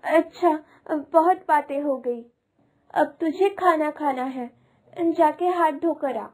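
A woman's voice: high-pitched, slow pace, a little mellow. A woman voicing a poor, worried and stern village mother in a cartoon, fretful and questioning. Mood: firm. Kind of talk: Hindi cartoon moral story acting. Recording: music behind the voice.

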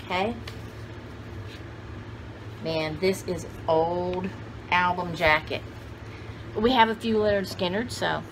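A woman's voice: fairly low pitch, slow pace, pauses often, a little hiss or hum, low-quality recording. A woman in her sixties chatting casually to the camera in a rambling, folksy, exclamatory way, often talking to herself and her viewers. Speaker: vlogger showing vintage finds. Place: cluttered indoor room with shelves.

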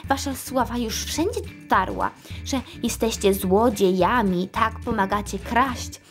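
Woman playing pompous, huffy, rule-obsessed little Smurf. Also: a little high. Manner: preachy, huffy, lecturing. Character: pompous, scolding rule-obsessed know-it-all male Smurf. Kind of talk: children's toy storytelling.

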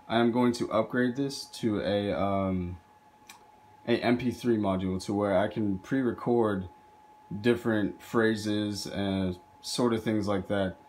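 A man with a fairly low voice talks through a homemade project. He presents it casually as a video host, recorded indoors on a handheld camera. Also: darkish tone, a little hiss or hum. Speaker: video host, hobby robot builder. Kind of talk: casual narrated project demo.

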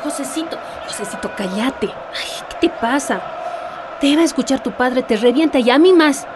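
A woman's voice: high-pitched, lively intonation, moderate pace, background noise. A woman with a Bolivian accent playing a frightened, scolding mother in a radio drama. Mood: fearful hushing.

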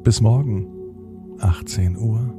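A man narrating a scripted bedtime story for children in a gentle storytelling manner. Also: fairly low pitch, slow, music in the background.

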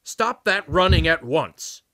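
A man playing an angry, fat ship captain with booming, commanding shouts in an audiobook. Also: animated, slow, heavily acted. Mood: bellowing anger.

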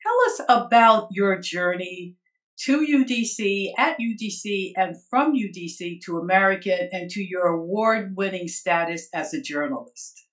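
A woman's voice: medium pitch, unhurried. Older woman hosting a college interview show. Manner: warm, proud, formal. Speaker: host and professor. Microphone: video call.

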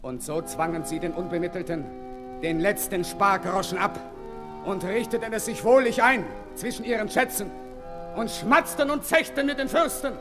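Man acting a high-voiced, declaiming revolutionary. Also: normal speed, lightly acted. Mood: bitter. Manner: declamatory, bitter. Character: accusing male revolutionary orator denouncing priests. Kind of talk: 1960s German radio play acting. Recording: long hall reverb, music.

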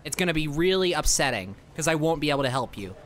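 Earnest young student hero, male, played by a woman, game parody: casual, incredulous, lively intonation, lowish. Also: quick pace. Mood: exasperated. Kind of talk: improvised comedic game dub.

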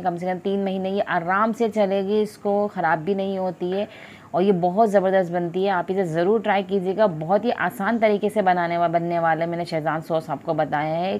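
A woman's voice: on the low side, darkish tone. A woman hosting her home cooking channel, explaining each step in a chatty, repetitive way from her kitchen. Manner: chatty, instructive, repeats steps. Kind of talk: home cooking tutorial narration.

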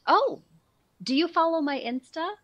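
An actress in a radio play playing a charming, youthful, breezy socialite with a casual, gushing way of talking. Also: female voice, very high-pitched, expressive, unhurried, fairly bright tone, no room sound, heavily acted. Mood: polite, puzzled.

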